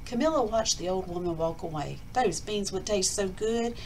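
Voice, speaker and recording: A woman hosting storytime, reading aloud to children in an expressive, emphatic picture-book style. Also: medium pitch, moderate pace, slight background noise, low-quality recording. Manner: emphatic, addressing children directly. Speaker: storytime host, school reader.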